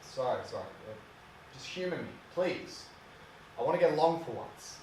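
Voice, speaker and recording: A man's voice: a little high, animated, moderate pace, a little mellow, close to an everyday voice. A man playing an angry, overbearing older drunken father in a theatrical monologue, with a scornful, haranguing delivery. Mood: pleading. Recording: distant mic, echoey room, faint hiss.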